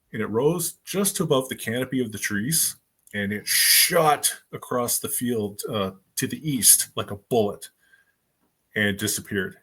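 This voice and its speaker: Husky-voiced man in his fifties. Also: mid-range voice. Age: 50s. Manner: animated, rambling storyteller with many fillers and restarts. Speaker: guest, author and researcher. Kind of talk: casual storytelling in a podcast interview.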